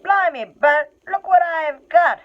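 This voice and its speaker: A woman voicing a big, dim, quarrelsome male troll who talks loud, coarse and blustering. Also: extremely high pitch, takes it slowly, voice pushed up, full character voice. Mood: gruff bluster. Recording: tinny phone-like sound.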